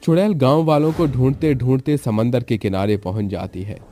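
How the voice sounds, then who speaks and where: A man's voice: mid-range voice, normal speed, warm tone, slight background noise. A man giving scripted narration for a storybook tale. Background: music.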